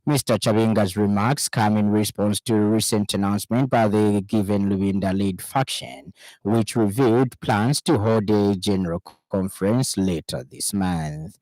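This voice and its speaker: A man with a Zambian accent reading a scripted radio news bulletin in a formal, measured way. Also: lowish voice, darkish tone, dry recording, rough recording. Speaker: news reader. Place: radio studio.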